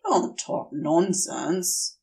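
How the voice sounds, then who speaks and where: A woman voicing a begrudging male demon with a secretly tender streak, gruff and brusque, in a story reading. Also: lowish voice, sing-song, extremely slow, dark tone, far from the mic, pitched below the performer's own voice, heavily acted. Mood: gruff, deflecting.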